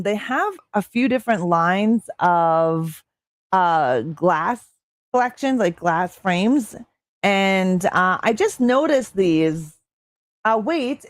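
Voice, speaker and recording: A woman's voice: mid-range voice, no echo, studio quality. Chatty streamer woman, slightly strained voice. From Canada. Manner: excitable, chatty, many fillers. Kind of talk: casual live-stream chat reading chat.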